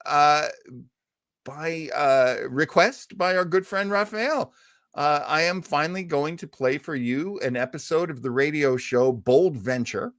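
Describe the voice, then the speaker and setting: A man's voice: on the high side, measured pace, fairly bright tone, dry recording, studio quality. A man hosting a casual panel chat on a podcast. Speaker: podcast host.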